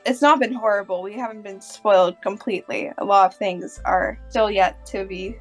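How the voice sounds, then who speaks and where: A woman chatting casually on a group podcast, explaining things in a halting way. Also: medium pitch, fairly even intonation, faint music behind.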